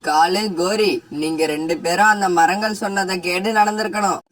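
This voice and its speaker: A man's voice: sits high, fairly even intonation, moderate pace, fairly bright tone, rough recording. A man voicing a caring, sensible male sparrow in a children's cartoon story. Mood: admonishing.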